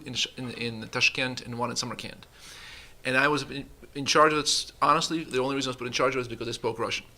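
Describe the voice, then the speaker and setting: A man's voice: medium pitch, pushed and bright. A man in his forties, a company vice president, speaking earnestly on a conference panel with an uneven pace and some restarts. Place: conference room.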